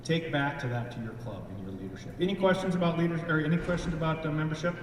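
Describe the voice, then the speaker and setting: A man's voice: on the high side, fast talker, distant mic, slight background noise. Earnest man speaking in an echoey hall. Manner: earnest, with many restarts. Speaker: district membership chair. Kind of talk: enthusiastic presentation. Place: large event room.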